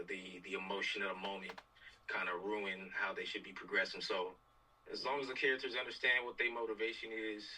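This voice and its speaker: A man's voice: low voice, pushed and bright. A man talking casually and hesitantly on a video call, circling back to the same phrases as he gives his input. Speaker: film team member giving input. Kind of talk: casual group discussion. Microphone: video call microphone. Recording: distant, faintly noisy video-call audio.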